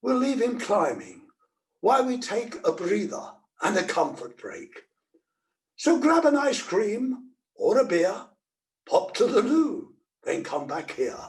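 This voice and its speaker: A man's voice: high voice, very animated, slow, full character voice. A man playing a theatrical pantomime narrator, delivering rhyming storybook verse. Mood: playful, teasing.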